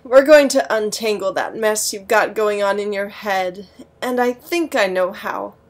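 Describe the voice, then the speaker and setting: A woman's voice: mid-range voice, unhurried, close to an everyday voice. A woman playing a devilish, bossy young schemer in an audio drama, with a dry, blunt, sardonic way of talking. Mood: scheming, petulant.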